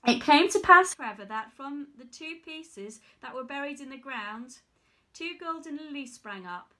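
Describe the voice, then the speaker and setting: A woman reading a fairy tale aloud in a careful, steady way with small slips. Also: high voice, moderate pace. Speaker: reader.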